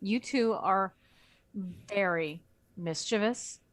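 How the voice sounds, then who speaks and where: A woman's voice: medium pitch, slow. A woman co-hosting a livestream, speaking in casual, informal chat. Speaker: co-host and reader on the stream.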